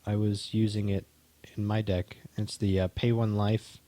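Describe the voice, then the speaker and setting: A man with a lowish, mellow voice talks casually while opening card packs. He speaks in a relaxed, halting way, often restarting his phrases. The sound is dry and close. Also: no echo. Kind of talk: casual chat.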